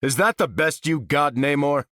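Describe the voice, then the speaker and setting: A man performs a bold battlefield hero for a video game, in a bright, fairly high voice. He rallies soldiers with clipped, determined delivery. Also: moderate pace, dry recording, clean studio sound, lightly acted. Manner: forceful, rallying, clipped military delivery. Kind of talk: video game character voice lines.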